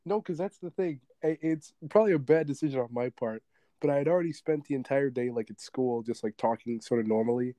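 Young man, sore throat, slight rasp, rambling podcast chat, dry close sound. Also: medium pitch, quick pace, mellow, dry recording. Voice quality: slightly raspy. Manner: rambling, laughs often, many restarts. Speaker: podcast co-host. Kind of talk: casual chat.

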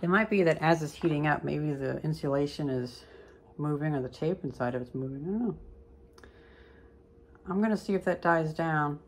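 A young woman with a low voice gives a casual, unscripted demonstration. She muses as she goes, recorded on a home camera with faint background noise. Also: moderate pace, pauses often. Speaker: hobbyist vlogger demonstrating old light bulbs. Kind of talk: casual off-the-cuff demonstration chat. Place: living room at home, indoors.

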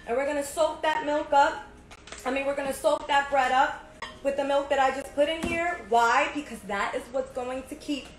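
A woman in her thirties hosting a casual home cooking video, talking through each step in a chatty way and often checking in with "right?". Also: high-pitched. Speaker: home cook host. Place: home kitchen, indoors. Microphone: phone camera at arm's length. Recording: echoey kitchen with noise.

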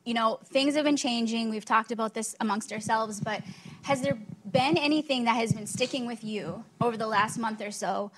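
Woman panel moderator, light and joking, slightly high voice, faint hiss. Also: normal speed. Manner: friendly, joking. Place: large conference stage. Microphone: handheld mic.

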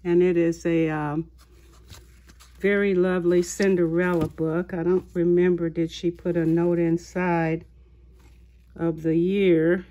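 Woman, craft haul host, slow halting talk, low-pitched voice. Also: level tone, mellow, dull audio. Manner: slow, unhurried, halting with fillers. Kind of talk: show-and-tell haul video. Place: indoors at a tabletop, voice-over of hands.

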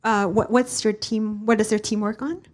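A woman's voice: mid-range voice, steady tone, normal speed. Woman moderating a panel, handheld mic. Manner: casual, many fillers, upbeat. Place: stage of a large hall with audience.

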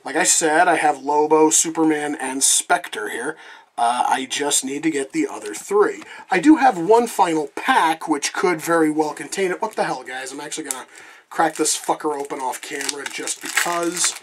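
A man's voice: a little high, moderate pace, breathy edge. A man with a lingering cough reviewing trading cards in a casual vlog, rambling and swearing freely while talking off camera.

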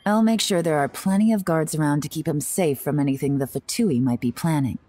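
A woman voicing a shrewd, composed young female intelligence agent in a video game, speaking in a measured, confident way. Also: low-pitched, dark tone, no echo. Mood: composed, sly. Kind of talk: video game dialogue acting. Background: game music.

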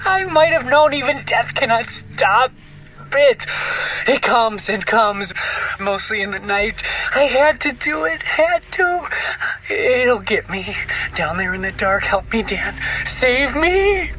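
A man's voice: very high-pitched, animated, slow pace, pushed and bright, exaggerated voice, low-quality recording. An actor playing a haunted, hysterical man in a horror audio drama, frantic and pleading in a melodramatic way. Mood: frantic, terrified. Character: hysterical, haunted man raving about a witch wife.

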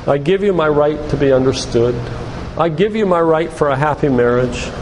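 A man's voice: fairly high pitch, lively intonation, moderate pace, darkish tone. An older man giving an emphatic, preacherly church lecture, putting rhetorical questions to his listeners. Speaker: physician giving a faith-based lecture. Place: church, speaking to a congregation. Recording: echoey church hall with background noise.

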